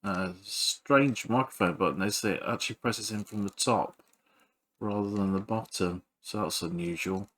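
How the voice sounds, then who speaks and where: A man giving casual hands-on repair commentary as a video host, halting and thinking aloud while he works. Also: fairly low pitch, a bit bright.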